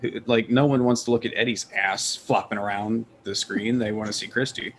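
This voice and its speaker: A man's voice: lowish voice, steady tone, fairly quick, slight background noise, rough recording. A man chatting casually as a podcast panelist, rambling and opinionated, with some casual swearing. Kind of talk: casual group chat.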